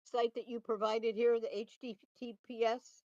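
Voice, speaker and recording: A woman's voice: on the high side, little pitch movement, normal speed, a little mellow, dry recording. A woman taking part in an online group session, talking casually over voice chat. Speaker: table read participant. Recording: dull, dry voice-chat audio.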